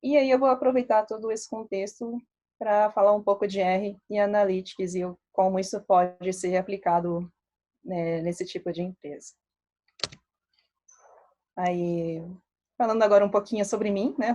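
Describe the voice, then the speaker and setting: Chatty Brazilian woman with a mellow voice. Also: medium pitch, normal speed. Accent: Brazilian Portuguese, mixed Minas Gerais and Rio. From Belo Horizonte, Brazil. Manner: relaxed, chatty, many fillers, laughs often. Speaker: statistician presenting to a meetup. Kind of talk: casual talk.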